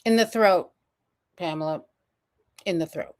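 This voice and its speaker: A woman's voice: fairly low pitch, a little slow, a little mellow. A woman co-host chatting casually about a case, blunt and wry in her remarks. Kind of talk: casual chat commentary.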